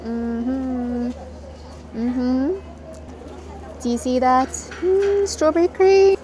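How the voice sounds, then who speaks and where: A woman's voice: high voice, drawn-out words, background noise. A woman travel vlogger talking casually to the camera, chatty and upbeat with light giggles. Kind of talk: casual vlog chat to camera. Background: background music.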